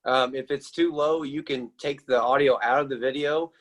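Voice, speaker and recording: A man on a webinar video call, answering as a video creator in a relaxed, rambling way. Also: medium pitch, moderate pace. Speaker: panel guest, video creator. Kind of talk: casual panel answer.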